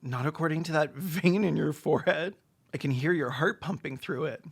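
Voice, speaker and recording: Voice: medium pitch, normal speed, vocal fry, slight background noise. Sarcastic, campy comedic commentator. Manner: sarcastic, snarky, camp deadpan jokes. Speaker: video essayist and commentator.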